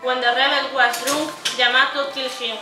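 High-voiced young woman narrating over music. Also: flat delivery, moderate pace. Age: teenager or young adult. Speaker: student narrator of a puppet show. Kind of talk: scripted story narration, read from paper. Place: indoor room beside a puppet theatre. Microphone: far camera. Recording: echoey room, far camera, music behind.

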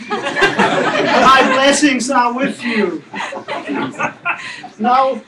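Man doing a calm old-school sage, slow chanting, bright voice, kinda far away. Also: very high-pitched, heavily acted. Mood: serene. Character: serene wandering male sage, kindly and devout.

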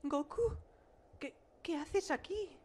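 A woman's voice: high voice, takes it slowly, dark tone, slight background noise. A woman playing a frightened, nervously polite wife in a cartoon parody, hesitant and stammering. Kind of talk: cartoon parody fandub acting.

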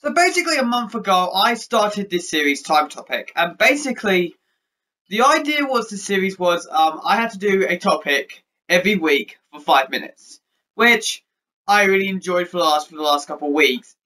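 A man's voice: high voice, sing-song, moderate pace, bright, forceful tone. A young man talking casually to a webcam in his bedroom, rambling with frequent restarts and a stutter. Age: young adult. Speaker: YouTuber. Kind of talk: casual vlog update. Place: bedroom with posters, indoors.

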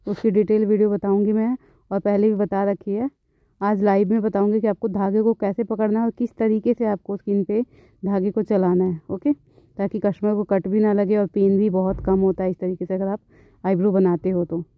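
Woman beautician, calm step-by-step tutorial voice, dry dull phone-recorded audio. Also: mid-range voice, normal speed, no echo. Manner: calm, instructive, repeats phrases. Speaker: beautician, channel host.

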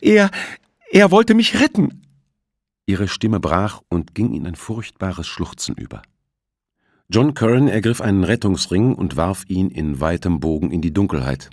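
Deep-voiced male audiobook narrator. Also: moderate pace, darkish tone, no room sound, clean studio sound. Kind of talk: scripted thriller narration.

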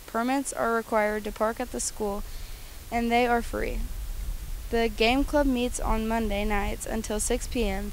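A woman's voice: fairly high pitch, slight background noise, low-quality recording. A teenage girl reading school news announcements outdoors on a football field, speaking into a handheld mic. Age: teens. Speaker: student news anchor.

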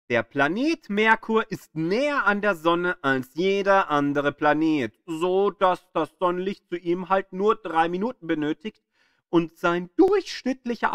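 A man reading scripted educational narration like an audio tour guide, with an expressive storytelling delivery. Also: a little high, no room sound, clean studio sound.

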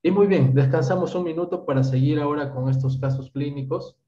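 A man's voice: on the high side, fairly even intonation, fairly quick, warm tone. A Peruvian man in his thirties, a doctor teaching an online workshop, explaining step by step and often checking with "¿está bien?". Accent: Peruvian Spanish. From Peru. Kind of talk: online medical lecture answering questions. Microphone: video call. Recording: roomy, distant, dull video call audio.

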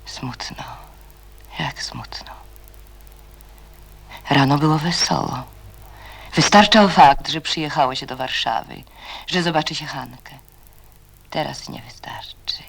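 A performer narrating a radio play as a melancholic storyteller, with a breathy, often half-whispered delivery. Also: medium pitch, heavily acted. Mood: wistful, sad. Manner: slow, brooding, melancholic. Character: brooding, melancholic storyteller voicing characters' thoughts.

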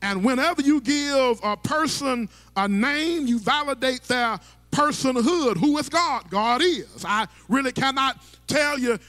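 A man's voice: very high voice, expressive, unhurried, few pauses, sharp tone. A middle-aged male pastor preaching from a church stage into a handheld mic, with rhythmic sing-song phrasing and stammering restarts. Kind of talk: sermon. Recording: long church hall reverb.

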